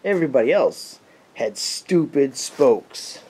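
A man's voice: mid-range voice, expressive, slow, a little mellow. An American man in his forties narrating a casual exploring vlog, wry, muttering asides to himself. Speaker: urban explorer vlogger. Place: inside and behind a derelict abandoned house. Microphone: handheld camera mic. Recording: noisy handheld camera audio.

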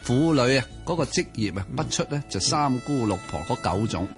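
A man's voice: mid-range voice, expressive, brisk, soft background music. A man with a Hong Kong Cantonese accent co-hosting radio literary commentary, reasoning analytically and posing rhetorical questions. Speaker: co-host.

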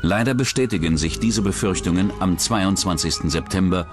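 A man's voice: sits low, moderate pace. A man reading scripted true-crime documentary narration as the narrator. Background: background music.

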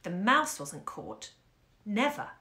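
A woman's voice: mid-range voice, huge pitch swings, takes it slowly, sharp tone, a little hiss or hum, full character voice. A woman voicing a small, earnest, literal-minded little girl. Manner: serious, earnest. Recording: roomy echo.

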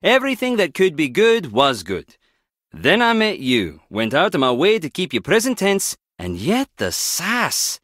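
An actor playing a wry, guarded skip tracer in a video game, teasing yet protective, speaking in a clipped, conversational way. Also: male voice, on the high side, huge pitch swings, a little slow, fairly bright tone, no echo, clean studio sound, heavily acted. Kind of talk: video game character dialogue.

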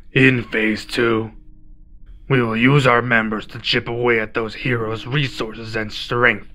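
Male actor as cold villain mastermind for roleplay audio; measured, commanding, hard-edged delivery over music. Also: mid-range voice, pushed and bright. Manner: imperious, slow and deliberate. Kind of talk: roleplay ASMR villain acting. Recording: music behind the voice.

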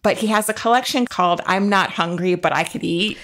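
A woman's voice: on the low side, a little fast, a bit bright, slight background noise. An American woman co-hosting a podcast, chatting casually and admiringly about books. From Jacksonville, United States. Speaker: podcast host and author. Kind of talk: casual book chat.

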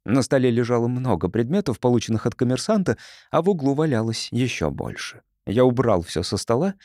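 A man reading an audiobook story as narrator, in an expressive scripted style. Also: medium pitch, fairly quick, no room sound, clean studio sound.

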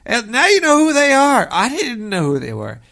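Someone doing a gravelly paranoid cartoon rat. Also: huge pitch swings, normal speed, fairly bright tone, exaggerated voice. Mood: rambling. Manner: rambling, stammering, swings wildly in pitch. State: congested, stuffy nose. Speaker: host taking calls and playing music. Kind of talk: comedic radio show chatter.

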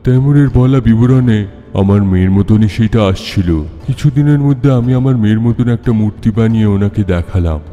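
A man acts out the ghost of a sculptor mourning his child in an animated horror tale. His tone is very dark and mellow, drenched in long reverb over music. He recounts his sorrow in measured, solemn phrases. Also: lowish voice, natural voice. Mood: solemn recounting. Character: ghost of a grieving sculptor father. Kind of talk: dramatic Bengali horror-tale narration.

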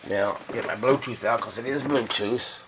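A middle-aged man giving a casual, halting, folksy hands-on demonstration, thinking aloud at a workbench. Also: mid-range voice, rough recording. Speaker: radio restorer demonstrating a repair. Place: cluttered indoor electronics workbench. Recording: loud background noise and radio hum.

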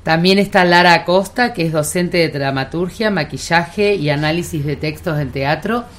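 Low-voiced Argentine woman radio interviewer. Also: normal speed, slight background noise. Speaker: radio host introducing guests. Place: radio studio.

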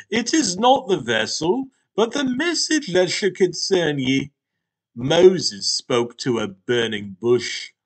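A man's voice: on the high side, voice pushed up, heavily acted, rough recording. A man voicing a prophetic talking snack with an English tinge. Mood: solemn. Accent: slight English. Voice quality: grand, archaic and portentous. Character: solemn prophetic talking snack pastry, male.

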